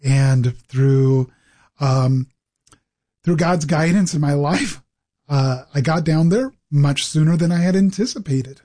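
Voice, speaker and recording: A man's voice: on the high side, slow, mellow. A middle-aged man from the Pacific Northwest with an American accent, telling his own story conversationally as an interview guest. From Pacific Northwest, United States. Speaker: interview guest, ministry executive director.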